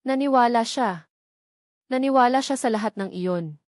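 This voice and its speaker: A woman's voice: fairly high pitch, normal speed, dry recording, clean studio sound. A woman with a Filipino accent narrating a dramatic scripted story as an audiobook.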